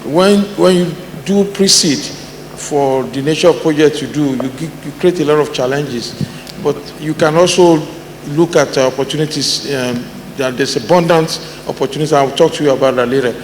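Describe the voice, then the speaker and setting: Halting man commenting from the audience. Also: fairly high pitch. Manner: halting, with restarts and uhs. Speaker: audience member. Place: large conference hall. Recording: echoey hall with faint room noise.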